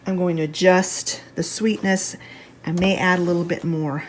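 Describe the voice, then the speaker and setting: A woman talks through a recipe in a fairly low, slightly stuffed-up voice. She is casual and chatty, thinking aloud as a home cook does. The kitchen recording carries some noise behind her. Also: steady tone, normal speed. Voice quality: congested, slightly stuffy nose sound. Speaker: home cook, video host. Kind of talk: casual cooking demonstration.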